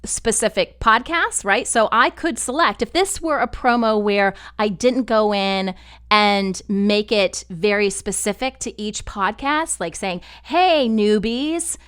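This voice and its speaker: Female tutorial presenter, smooth and clear, mid pitch, conversational walk-through delivery. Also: takes it slowly, fairly bright tone. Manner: conversational, step-by-step. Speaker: podcast network instructor. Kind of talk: screen-share software tutorial, explanatory.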